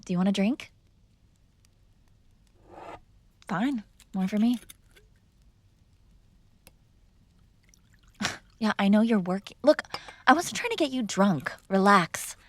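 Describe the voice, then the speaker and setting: A woman's voice: mid-range voice, lively intonation, normal speed, a little hiss or hum, close to an everyday voice. A woman playing a mob boss's spoiled, defiant daughter in an intimate ASMR roleplay, teasing and sarcastic in a conversational way, close to the microphone. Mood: wry, teasing. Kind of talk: ASMR girlfriend roleplay, intimate one-sided dialogue.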